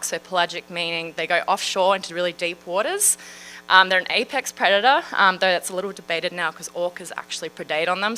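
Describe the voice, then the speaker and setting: A woman's voice: medium pitch, pushed and bright, poor audio. An Australian woman in her thirties, a marine scientist giving a friendly, chatty public science talk with frequent fillers. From Western Australia. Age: 30s.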